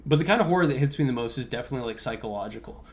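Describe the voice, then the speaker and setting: A man's voice: medium pitch, animated, racing. Young man, casual rambling podcast talk. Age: young adult. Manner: informal, conversational, heavy use of "like". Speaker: podcast host.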